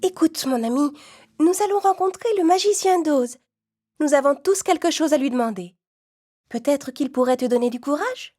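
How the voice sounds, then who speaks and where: A woman voicing a kind young girl in a storybook cartoon, gentle and earnest. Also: high-pitched, lively intonation, moderate pace, voice pushed up. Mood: comforting.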